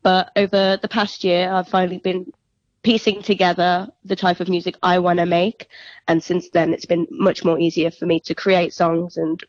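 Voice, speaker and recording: A woman's voice: lowish voice, little pitch movement, moderate pace, no echo. A young woman, a singer-songwriter, chatting casually and reflectively as a guest on a phone interview. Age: young adult. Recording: dull, lo-fi phone interview line.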